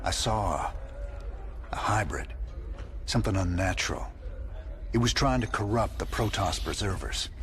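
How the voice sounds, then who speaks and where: A man's voice: lowish voice, animated, moderate pace, pauses often, fairly bright tone, a little hiss or hum. A man playing a troubled, uneasy character in a video game, speaking with urgency. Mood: shaken. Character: troubled man reporting a terrible sight. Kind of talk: dramatic video game voice acting.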